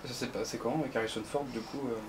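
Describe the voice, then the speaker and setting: A man conducting a relaxed interview, speaking quickly at a middle pitch. He throws in short questions and names to jog his guest's memory. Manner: brief prompts and name suggestions.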